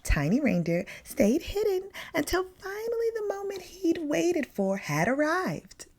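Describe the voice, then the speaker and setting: A woman's voice: extremely high pitch, very animated, a little slow, darkish tone. A woman reading a children's picture book aloud expressively, with dramatic emphasis on key words. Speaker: story time reader.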